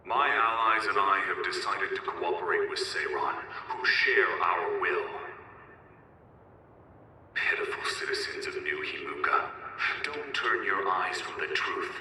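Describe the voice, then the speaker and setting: An actor playing a defiant rebel military commander in a video game, making a scornful, declamatory speech to a nation. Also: male voice, low voice, unhurried, bright, forceful tone, distant mic, exaggerated voice. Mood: scornful, commanding. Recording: echoing broadcast from afar, faint noise.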